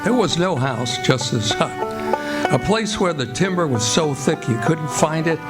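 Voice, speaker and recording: A man narrating a story in a scripted radio play, in a measured storytelling manner. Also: on the high side, expressive, loud music behind the voice. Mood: grave. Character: storybook narrator of a river adventure. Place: live performance before an audience.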